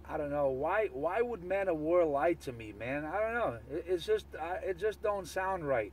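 A man's voice: a little high, lively intonation, normal speed. A man chatting casually as a CB radio operator, heard through a radio transmission. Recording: distant, slightly noisy CB radio signal.

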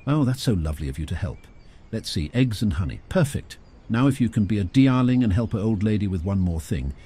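A man's voice: lowish voice, expressive, brisk, warm tone, breathy edge, voice pushed up. A man voicing a posh, fussy old lady neighbor in a storybook, theatrical and gushing, calling everyone darling.

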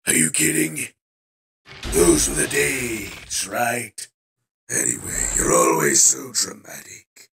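A man playing a sardonic, swaggering villainous robot warlord in an animated fan dub, mocking and theatrical in his drawl. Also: on the low side, slow pace, creaky, background noise, full character voice. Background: musical score.

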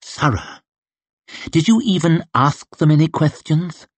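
A man voicing a bitter, crippled male torturer who talks in a low, quiet, cutting way. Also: very animated, a little slow, slightly rough, dry recording. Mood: cold contempt.

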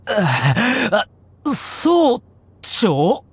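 A man's voice: high voice, huge pitch swings, very slow, breathy edge, no room sound, heavily acted. An actor playing a young man muttering dry, incredulous asides in a Japanese anime audio drama. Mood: incredulous, dreading. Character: young human man, dryly bemused straight man.

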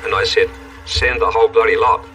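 A man's voice: fairly low pitch, fairly even intonation, fairly quick, sharp tone. A man who survived a plane crash, telling his story in a plain, reflective way in a documentary interview. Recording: distant mic with music.

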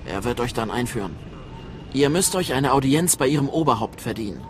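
A man playing a proud, grave Sith apprentice recruiter in a German game dub, formal and measured. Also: mid-range voice, expressive, slight background noise, lightly acted. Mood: scornful, instructing.

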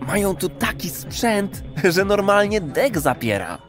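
A man's voice: high voice, sing-song, measured pace, faint music behind. An actor playing a crude, cocky, streetwise man in an audio drama, talking in chummy, vulgar slang. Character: brash, crude, streetwise male regular of a pleasure institute.

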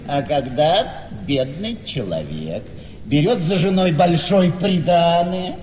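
An actor playing a cynical, mocking gentleman in a radio play, with a deliberate, sardonic, theatrical delivery. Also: fairly high pitch, lively intonation. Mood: mocking, worldly. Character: cynical, mocking gentleman needling a friend's young wife. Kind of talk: Russian classic radio play acting. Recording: reverberant hall-like studio, faint noise.

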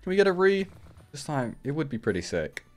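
Young guy, a bit raspy from being ill, hyped slots streamer yelling at big hits, faint music under him. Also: a little high, sing-song, brisk. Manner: shouts and rises sharply at big wins. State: raspy from a cold. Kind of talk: live gambling commentary. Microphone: headset.

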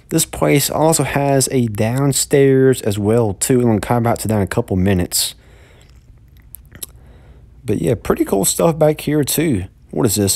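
A man's voice: mid-range voice, creaky, darkish tone, no room sound. Man rambling through a shop. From Mississippi, USA. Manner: rambling, repeats words, upbeat. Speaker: vlogger. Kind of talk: casual walk-through vlog. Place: large indoor store. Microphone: handheld phone.

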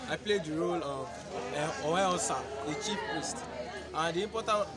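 A young man, a stage actor, answering interview questions in a halting, deliberate way outdoors. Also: a little high. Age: young adult. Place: open outdoor yard among crowd. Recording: loud crowd chatter outdoors.

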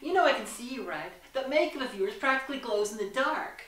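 A woman's voice: on the high side, animated, a little fast, fairly bright tone, pitched above the performer's own voice. A woman voicing a snarky, smug, bluntly flirtatious woman in a dramatised story reading. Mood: challenging. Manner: teasing, brazen, smirking. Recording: roomy, distant from the mic.

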